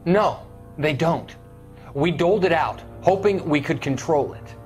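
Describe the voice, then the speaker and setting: A man's voice: on the high side, measured pace, a little mellow, faint music behind, natural voice. An actor playing a troubled, guilty young ex-serviceman in a video game, earnest and deferential, with an American accent. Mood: relieved, hopeful. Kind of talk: video game dramatic acting.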